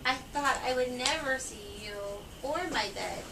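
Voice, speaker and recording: A woman's voice: a little high, measured pace, gravelly. A woman voicing a polite, earnest young male fawn in a children's story. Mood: relieved. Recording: echoey, distant, noisy home recording.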